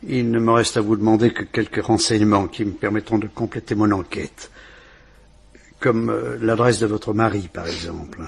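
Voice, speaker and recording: An actor playing a courteous police inspector who questions a witness in a measured, reassuring way, in a vintage French radio drama. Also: male voice, fairly low pitch, steady tone, creaky, breathy edge. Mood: probing. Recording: old radio play recording.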